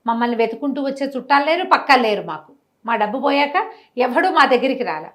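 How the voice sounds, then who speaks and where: A woman's voice: on the high side, fast talker. A woman telling a moral story conversationally, acting out dialogue and drawing lessons with rhetorical questions. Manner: animated, didactic, acts out dialogue with rhetorical questions.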